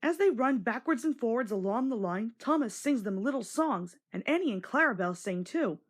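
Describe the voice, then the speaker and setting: A woman narrates a children's read-along story. Her voice sits fairly high and moves around with very lively, expressive intonation. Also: fairly quick.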